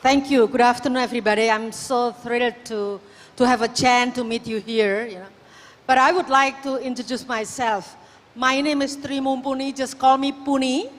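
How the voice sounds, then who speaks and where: A woman from Indonesia introducing herself politely and a little haltingly as a panelist. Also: on the high side, fairly even intonation. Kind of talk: formal self-introduction. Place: large conference hall. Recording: reverberant hall, set back from the mic.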